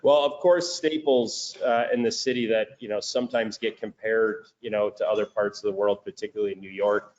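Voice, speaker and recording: A man with a thick Chicago accent and a somewhat low voice talks casually as an interview guest over a video call. He speaks in a relaxed way, with lots of fillers and false starts. The call audio is dull and lo-fi. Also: normal speed, hardly any pauses. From Chicago, United States. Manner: relaxed, many fillers and restarts. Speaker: guest, crematory and metal recycling business owner.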